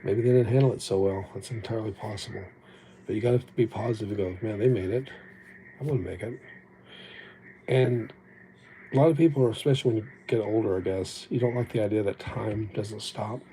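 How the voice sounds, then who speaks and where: A man's voice: fairly low pitch, a little fast, warm tone, a little hiss or hum, rough recording. A man whose first language was Japanese, chatting casually and reflectively on his livestream, rambling and poking fun at himself, recorded on an old phone. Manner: rambling, reflective, self-deprecating. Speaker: livestream host.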